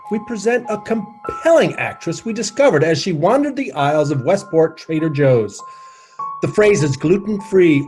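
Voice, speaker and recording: A man playing a pompous, irritable, melodramatic radio thriller host who introduces dark tales in a 1940s style. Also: on the high side. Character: theatrical, irritable, light-hating sinister male radio announcer. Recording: music under the voice.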